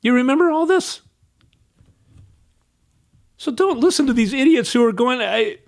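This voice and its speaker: A man gives casual political commentary in a fairly high voice. He talks in an animated, emphatic way and sounds exasperated.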